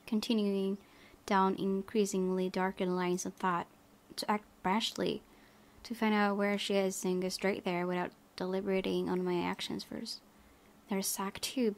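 A woman reading visual novel narration aloud as a let's play host, softly, with casual asides. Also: medium pitch.

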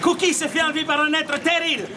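A man's voice: extremely high pitch, level tone, fast talker. A middle-aged man tells a comic story as a street puppeteer, projecting theatrically with mock drama and playful asides. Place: outdoors in a street against a stone wall. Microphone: camera at a few metres. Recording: outdoor camera recording with audience noise. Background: loud crowd and audience laughter.

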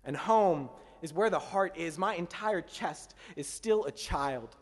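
Young guy doing slam poetry into a mic, fairly high voice, big reverby hall sound. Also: a little slow. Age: young adult. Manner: rhythmic, emphatic delivery with sweeping hand gestures. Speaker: slam poet. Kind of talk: spoken word poetry performance. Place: small indoor stage in front of a window. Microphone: stand mic at mouth height.

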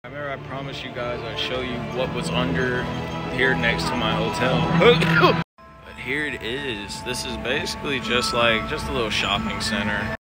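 A young man with a raspy, worn-out voice, chatting casually as he vlogs through a theme park at night on a phone held at arm's length. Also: mid-range voice, normal speed, loud music behind the voice. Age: young adult. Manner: chatty, rambling, laughs often. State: exhausted. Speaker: vlogger. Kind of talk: casual vlog walkthrough. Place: outdoor theme park and shopping area at night, rainy.

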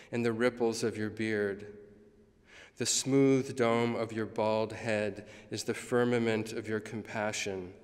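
Slow-paced older man in a reverberant hall. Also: on the low side. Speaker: poet. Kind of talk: poetry reading. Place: large gallery hall, before seated audience. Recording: long hall reverb from podium.

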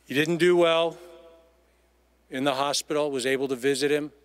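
Man, middle-aged, slightly high voice, emphatic eulogy, repeated phrases, dry outdoor stand mic. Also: fairly even intonation, moderate pace, smooth, dry recording. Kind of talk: heartfelt memorial tribute. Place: outdoors in an empty baseball stadium. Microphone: microphone on a stand.

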